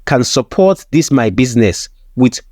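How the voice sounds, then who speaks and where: A storyteller voicing a seductive, cunning she-devil who poses as a beautiful woman and talks in a soft, coaxing way. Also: mid-range voice, lively intonation, a little slow, no echo, studio quality, pitched below the performer's own voice. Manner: soft, coaxing, smooth-talking.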